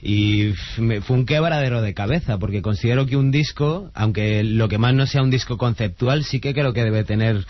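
Male musician, halting thoughtful guest, low voice, muffled radio sound. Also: dry recording. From Spain. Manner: halting, many restarts, thoughtful.

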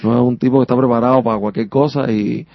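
A man's voice: medium pitch, fairly even intonation, fast, vocal fry, no room sound, lo-fi sound. A man from Cuba with a Havana accent, a singer giving a chatty, rambling radio interview and often tagging on "sabes?". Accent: Havana Cuban Spanish. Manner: talkative, rambling, keeps asking "sabes?".

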